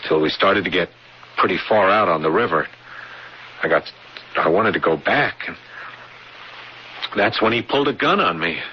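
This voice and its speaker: An actor in a radio drama playing a haunted man who recounts a frightening boyhood memory in halting, stammering phrases, on a noisy vintage broadcast. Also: low voice, highs cut off. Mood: tense, haunted. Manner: halting, stammering, repeating words.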